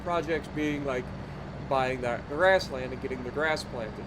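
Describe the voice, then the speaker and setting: A man's voice: medium pitch, measured pace, noisy background. A man with a stuffy, nasal voice giving easygoing commentary over his farming game, thinking aloud with fillers. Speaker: gaming YouTuber. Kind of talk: casual let's-play commentary.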